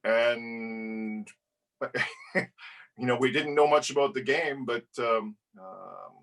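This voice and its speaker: A man's voice: medium pitch, slow pace, vocal fry, a bit bright. A man in his fifties with a mid-range voice, sounding a little distant from the mic. He tells his story in a laid-back, joking way and swears mildly. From Edmonton, Alberta, Canada. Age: 50s. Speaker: guest, club player. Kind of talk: casual storytelling interview.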